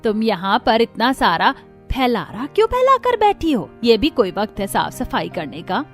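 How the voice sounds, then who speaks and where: A woman's voice: fairly high pitch, wildly swooping pitch, moderate pace. A woman voicing a fussy older mother-in-law in a cartoon story, scolding and questioning in a nagging way. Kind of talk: Hindi cartoon story dialogue. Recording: music behind the voice.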